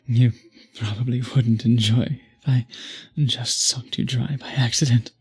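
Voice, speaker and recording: A man playing a charming, flirtatious, predatory vampire in an ASMR roleplay, murmuring intimately and teasingly with a breathy, husky voice. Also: medium pitch, steady tone, a little slow, darkish tone. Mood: tender, suave.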